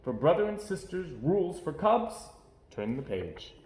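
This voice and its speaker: Casting: male children's story narrator, highly expressive intonation, recorded in an echoey room. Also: a little slow. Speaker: storybook reader. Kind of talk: animated children's read-aloud narration.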